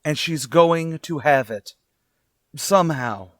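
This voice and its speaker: A man acting in an old-time radio drama as a desperate, earnest father looking for work, speaking in a halting, pleading way. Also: fairly high pitch, no echo, clean studio sound. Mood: weary, resolved. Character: desperate, earnest, down-on-his-luck young father seeking work.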